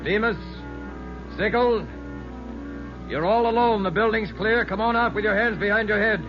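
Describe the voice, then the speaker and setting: A man's voice: sits high, steady tone, normal speed, close to an everyday voice. Man as commanding, terse police chief, old radio. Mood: loud, urgent. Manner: barking terse orders. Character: commanding male police chief leading a stakeout. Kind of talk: 1940s radio police drama acting. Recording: muffled vintage radio recording with music.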